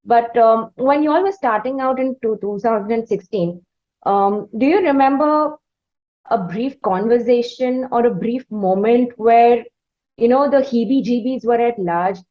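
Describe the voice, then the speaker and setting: A woman with an Indian accent hosting an interview, building up wordy, halting questions in a conversational way. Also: fairly high pitch. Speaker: interviewer, host. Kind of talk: conversational long-form interviewing. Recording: slightly dull, lo-fi audio.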